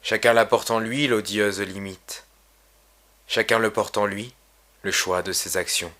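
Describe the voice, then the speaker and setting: A man reading his own poem aloud in a solemn, measured, literary recitation. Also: fairly low pitch, fairly even intonation, pushed and bright. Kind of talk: poetry recitation.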